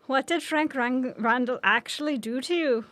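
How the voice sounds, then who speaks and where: A woman voicing a brisk, self-assured teenage witch schoolgirl in a story read aloud. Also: sits high, a bit bright, no room sound. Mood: firm.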